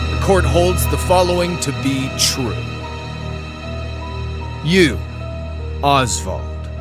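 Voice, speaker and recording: An actor playing a smug, insinuating courtroom accuser in a game, with theatrical, pointed delivery and drawn-out pauses. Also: male voice, fairly high pitch. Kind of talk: dramatic game dialogue.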